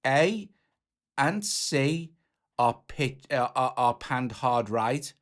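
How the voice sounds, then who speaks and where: A man's voice: mid-range voice, animated, extremely slow. A man presenting a music app tutorial in a casual, informal, hands-on explaining way.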